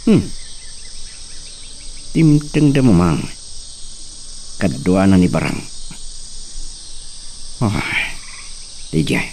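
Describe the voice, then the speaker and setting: Man acting a deep, slow, creaky old father. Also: darkish tone, distant mic, slight background noise, exaggerated voice. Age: older. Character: old rural father, male, wary and thoughtful. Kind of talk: Ilocano radio drama acting.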